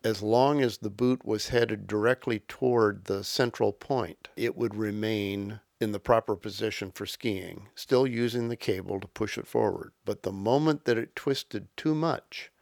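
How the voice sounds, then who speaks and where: Gravelly man explaining carefully. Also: on the low side, a little slow, few pauses, a little mellow, no echo, clean studio sound. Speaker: interviewee. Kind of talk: explaining history in an interview.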